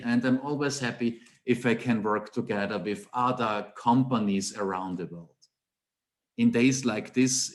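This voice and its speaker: A middle-aged man from Austria with an Austrian German accent, giving a technical conference talk over a webcam call in a small home office, explaining with rhetorical questions and emphatic repetition. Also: medium pitch. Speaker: conference speaker, Angular trainer.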